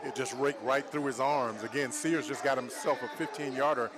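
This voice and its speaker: A man's voice: mid-range voice, fairly even intonation, moderate pace, crowd noise. A man working as a football color analyst, explaining plays conversationally on a live broadcast.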